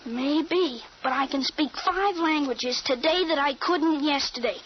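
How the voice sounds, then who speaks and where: A woman's voice: high voice, measured pace. A woman playing a precise thirteen-year-old American boy with a grown-up mind in an old radio drama, speaking in an articulate, matter-of-fact way. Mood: confident, explaining. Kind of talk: 1940s-50s radio drama acting. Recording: muffled, noisy old radio recording.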